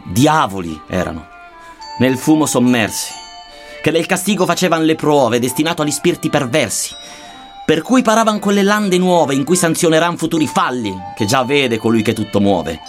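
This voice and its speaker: Awed poet pilgrim played by a man, very animated pitch, declaiming old rhymed verse with faint music underneath. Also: normal speed. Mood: ominous. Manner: theatrical, declamatory, archaic rhymed delivery. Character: awed male poet pilgrim recounting a journey through hell. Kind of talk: dramatic verse narration. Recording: music behind the voice.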